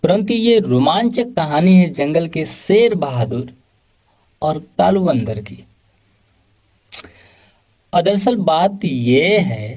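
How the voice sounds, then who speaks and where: Male storyteller, children's tale, very unhurried, higher-pitched, scripted delivery. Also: darkish tone. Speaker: story narrator.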